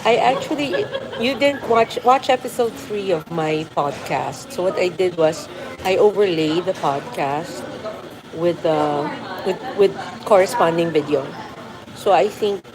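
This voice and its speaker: A woman's voice: fairly low pitch, unhurried, low-quality recording. Chatty woman streaming from a busy café. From Philippines. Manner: chatty, rambling, reads viewer comments aloud. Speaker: vlogger live-streaming. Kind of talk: casual live-stream chat. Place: busy coffee shop. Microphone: phone on a mobile hotspot. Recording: crowded café chatter behind. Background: café crowd chatter.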